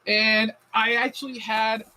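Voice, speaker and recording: A man's voice: high-pitched, level tone, a little slow, pushed and bright, poor audio. A man with a Puerto Rican accent, co-hosting a casual podcast chat, rambling with frequent restarts and casual swearing. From Puerto Rico. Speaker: podcast co-host.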